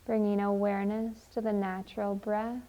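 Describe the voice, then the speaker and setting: Female meditation guide, mellow and unhurried, gentle measured phrasing, slightly dull lo-fi recording. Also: mid-range voice, little pitch movement. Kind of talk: slow, soothing guided meditation and poem reading.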